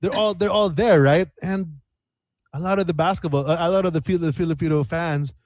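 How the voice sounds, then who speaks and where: A man chatting casually as an interview guest, telling stories in an animated way and repeating phrases as he goes. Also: fairly high pitch, brisk, no room sound. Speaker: guest musician.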